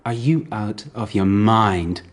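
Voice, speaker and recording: A man's voice: fairly low pitch, steady tone, slow, a little hiss or hum. An actor playing a guarded, prickly businessman under questioning in a radio drama, curt and defensive. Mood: defensive, irritated.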